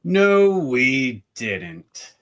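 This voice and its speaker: A man's voice: mid-range voice, big pitch swings, very slow, fairly bright tone, heavily acted. A man playing a bigoted, threatening Old West lawman's henchman with a smug manner, in an amateur radio play. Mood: menacing, smug.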